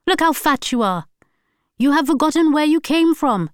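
A woman reading a story aloud, voicing a furious wife and mother who shouts and screams at her husband. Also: lively intonation, moderate pace, no room sound, studio quality. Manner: shrill, screaming.

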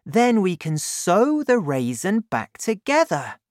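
A man voicing an eager, excitable young boy. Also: slow pace, no room sound, clean studio sound, full character voice. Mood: excited. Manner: raised pitch, excited questions. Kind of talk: audiobook character voice.

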